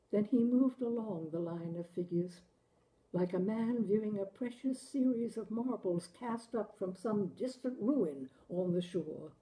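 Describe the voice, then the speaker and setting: Female narrator for literary fiction, unhurried and expressive, with a very soft, dark, mellow tone. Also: mid-range voice, low-quality recording. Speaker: story reader, show host. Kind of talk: literary audiobook-style story narration.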